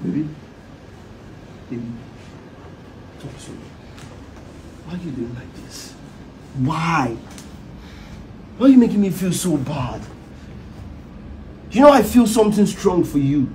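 Male actor as begging husband, Nigerian accent, very animated pitch, insistent, repetitive, desperate, drama scene, faint background noise. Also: unhurried, pauses often, distant mic. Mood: soft, apologetic. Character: pleading, desperate husband begging his wife to stay.

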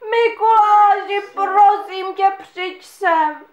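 A woman's voice: very high voice, dull audio. A woman voicing a naughty little boy who whines and pleads with the other characters. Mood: whiny. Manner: whiny, pleading. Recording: echoey room.

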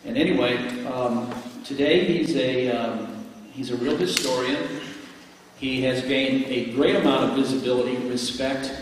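Halting American man in a reverberant hall. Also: mid-range voice. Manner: halting, hesitant. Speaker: host introducing a speaker. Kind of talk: informal spoken introduction. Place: large indoor lecture room. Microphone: handheld mic through room speakers. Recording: very echoey hall, distant, faint noise.

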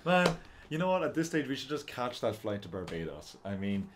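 A man in casual co-op gaming banter, ranting and joking with frequent laughs. His voice sits at an ordinary middle pitch. A steady hiss of noise runs under his headset mic. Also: fairly quick. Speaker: gaming channel co-host.

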